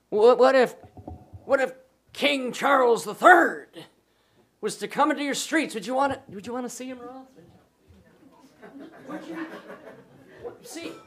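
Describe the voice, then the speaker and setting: Booming older preacher telling stories. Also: male voice. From Wisconsin, USA. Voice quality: booming, commanding voice. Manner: storytelling, jokes with the congregation, laughs often. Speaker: pastor. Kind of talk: humorous sermon. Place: church hall with congregation.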